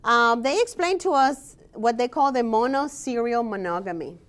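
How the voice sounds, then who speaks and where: Woman academic presenting her research; steady, explanatory delivery, pauses on "um.". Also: on the high side. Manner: measured and explanatory, with frequent "um" pauses. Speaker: scholar and researcher giving a presentation. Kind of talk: presentation talk with slides.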